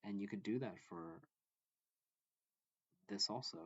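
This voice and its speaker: A man with a deep, low-pitched voice reads a lesson aloud in short, deliberate phrases, like a teacher walking students through a textbook. The recording is muffled and lo-fi, with noticeable room echo. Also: a bit bright. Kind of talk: instructional read-along of a math textbook. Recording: dull lo-fi, echoey room.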